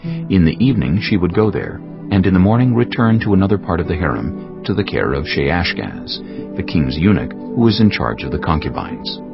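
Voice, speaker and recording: Deep, expressive male scripture narrator. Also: gravelly. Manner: formal, deliberate storytelling. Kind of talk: scripted dramatized Bible narration. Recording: muffled, dull audio under music. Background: prominent background music.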